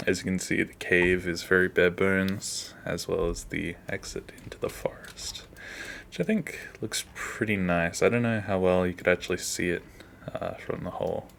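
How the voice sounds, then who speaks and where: A man's voice: sits low, little pitch movement, normal speed, vocal fry, fairly bright tone, a little hiss or hum. A man hosting a casual behind-the-scenes animation commentary in an informal way.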